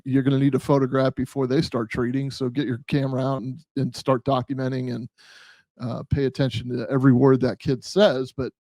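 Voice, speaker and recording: A man's voice: medium pitch, darkish tone, dry recording. Blunt man in a close, dry recording. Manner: blunt, plain-spoken, opinionated. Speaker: podcast co-host with police background. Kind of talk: casual true-crime panel discussion.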